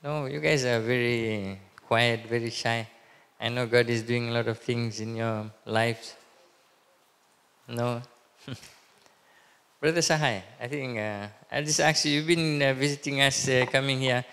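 A man's voice: mid-range voice, unhurried, fairly bright tone, clean tone. Man praying in a reverberant church. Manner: hesitant, many fillers and restarts. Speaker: church service leader. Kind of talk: leading prayer and church announcements. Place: church hall, speaking at a pulpit. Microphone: handheld microphone. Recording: long hall reverb.

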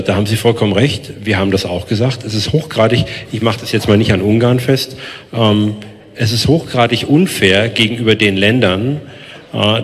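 A man on stage answering audience questions as a politician, speaking emphatically into a handheld mic and repeating phrases for stress. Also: lowish voice. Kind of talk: public Q&A answer. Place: large hall, on stage. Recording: echoey hall.

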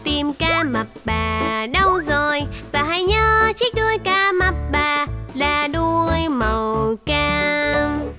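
A woman's voice: sits high, lively intonation, takes it slowly, few pauses, loud music behind the voice. A woman voicing an eager little baby shark in a children's cartoon, exclamatory and sing-song, talking straight to young viewers in style. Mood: determined, cheerful.

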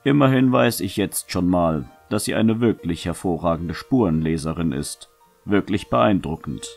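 An actor playing a seasoned knight in a fantasy audio drama, fatherly, formal and measured in manner. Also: deep voice, expressive, gravelly, faint music behind, heavily acted. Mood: earnest, measured. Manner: measured, formal, gently admonishing.

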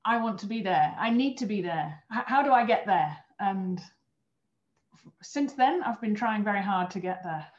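A woman's voice: mid-range voice, lively intonation, moderate pace. A European woman in her thirties talks about her work as a guest on a video call interview. She explains things earnestly, restarting a phrase now and then. The sound is muffled and lo-fi, with a roomy echo around her voice. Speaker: guest, former astronaut trainer. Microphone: webcam on video call.

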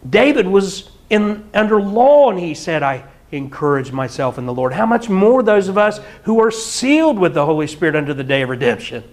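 A middle-aged man preaching in a church hall, his voice sitting fairly high for a man. He talks animatedly, slipping into mock singing and quick laughs, and is picked up by a camera out in the room. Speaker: pastor. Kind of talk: sermon. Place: church hall with projector screen.

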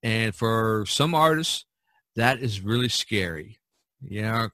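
A man's voice: on the low side, expressive, takes it slowly, sharp tone, no echo. A man hosting a casual podcast, giving advice in a rambling, folksy way full of "you know". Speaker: podcast host and painter. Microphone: video call recording.

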